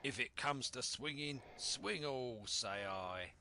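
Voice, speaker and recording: Man acting out a gruff, forceful sea captain. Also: medium pitch, expressive, slow pace, slight background noise, heavily acted. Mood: gruff, agitated. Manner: curt, emphatic bursts. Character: gruff, hunted old sea captain, hard-drinking.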